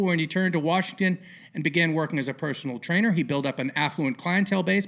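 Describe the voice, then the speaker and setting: A man hosting a casual podcast interview in a colloquial, theatrical way with punchy, emphatic phrasing and a gravelly voice. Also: high voice, fairly bright tone. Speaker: podcast host.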